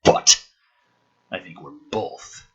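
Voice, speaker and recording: A man voicing a gruff, guarded soldier father from a film script, speaking quietly, haltingly and tersely with a husky voice. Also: dull audio. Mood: rueful, subdued.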